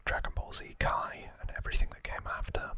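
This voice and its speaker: A man whispering trivia as an ASMR host, in a hushed, informal and unhurried explaining manner. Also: no room sound.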